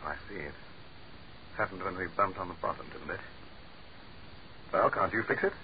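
A man's voice: sits low, moderate pace, close to an everyday voice. An actor playing an imperious, pompous male dignitary in a 1940s radio horror play, with haughty, commanding, formal speech. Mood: tense, imperious. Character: imperious, pompous dignitary, a man trapped and growing frantic. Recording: hissy, muffled 1940s radio recording.

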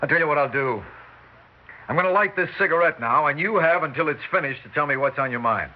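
A man's voice: fairly high pitch, expressive, fast talker, lightly acted. A man playing a brusque, self-satisfied Broadway producer in 1960s radio drama style, wisecracking and dismissive. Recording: dull vintage radio recording with hiss.

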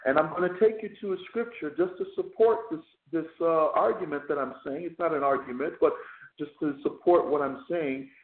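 Hoarse preacher over a phone line. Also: male voice, on the high side. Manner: slow, deliberate preaching with many fillers. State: hoarse, losing his voice, clearing his throat. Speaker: chaplain and radio show host. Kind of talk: radio sermon with scripture reading. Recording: tinny phone line, echoey.

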